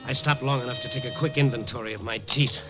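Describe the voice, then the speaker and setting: Actor voicing a hardboiled night reporter in a vintage radio play; keep it dry and laconic, with wisecracks under a music bed. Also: male voice, mid-range voice, fast, lightly acted. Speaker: narrator. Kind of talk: 1950s radio drama narration.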